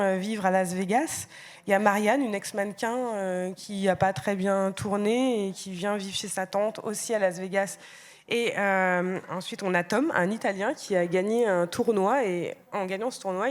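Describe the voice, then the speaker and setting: A woman's voice: mid-range voice, darkish tone. A woman moderating a book panel, speaking with frequent hesitations. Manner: hesitant, many euhs.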